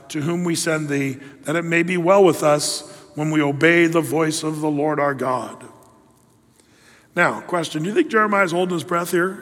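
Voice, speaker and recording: A man's voice: on the high side, big-room sound. A man preaching a sermon, reading scripture aloud and acting out the quotes emphatically. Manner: emphatic, acts out quotes in lively voices. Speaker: pastor.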